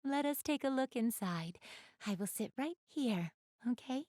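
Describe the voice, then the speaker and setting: A woman's voice: high-pitched, lively intonation, a little slow, darkish tone, dry recording, studio quality. A woman playing an elegant, doting big-sister goddess in a video game, speaking in polite, formal, refined phrases straight to the listener. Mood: proud, doting. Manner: polite, formal, refined diction, gushing over what she adores. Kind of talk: video game character acting, addressing the listener.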